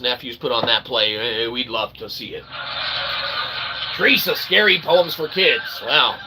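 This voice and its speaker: A man's voice: medium pitch, background noise. A man hosting his own channel in a rambling, self-promotional monologue, sarcastic and self-mocking, who keeps asking "okay?". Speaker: independent channel host.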